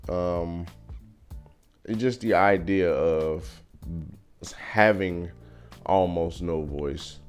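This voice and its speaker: A young man talking through his opinions in a casual vlog, thoughtful and measured, with frequent fillers. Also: deep voice, clean tone, soft background music. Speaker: vlogger. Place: car.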